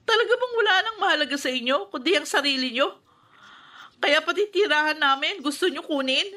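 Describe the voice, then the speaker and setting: A woman's voice: sits high, animated, fairly quick, bright, forceful tone. A woman with a Filipino accent playing a protective, wounded young elder sister in a radio drama. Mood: reproachful.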